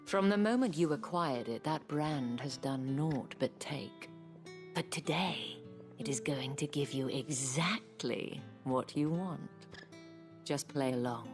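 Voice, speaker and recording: A sly, self-assured madam in a game cutscene, speaking very low and slowly. She teases in genteel, breathy half-whispers, with music behind her. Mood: commanding, teasing. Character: sly, elegant madam of a pleasure house, teasing and self-assured. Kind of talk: video game cutscene acting.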